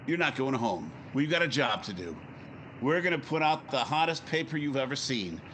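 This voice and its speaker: An actor playing a brisk, commanding newspaper editor in a 1950s-style radio drama, crisp and authoritative. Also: male voice, medium pitch, sing-song, a bit bright, a little hiss or hum. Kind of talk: 1950s radio drama acting with first-person narration.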